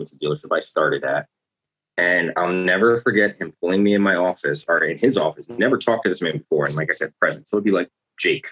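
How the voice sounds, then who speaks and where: A man, a car dealership sales director, speaking as a podcast guest in a flat, monotone way. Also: low voice, slightly rough, poor audio. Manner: self-described monotone, even delivery.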